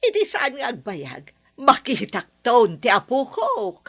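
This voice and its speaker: A woman playing a daughter in a comedy radio drama, with expressive delivery. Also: on the high side, measured pace, husky. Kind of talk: Ilocano radio drama acting.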